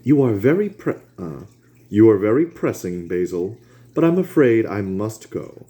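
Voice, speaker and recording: A man's voice: lowish voice, sing-song, a little slow, mellow, pitched above the performer's own voice. A man voicing a polished, witty male aristocrat who speaks politely and unhurriedly. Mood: suave.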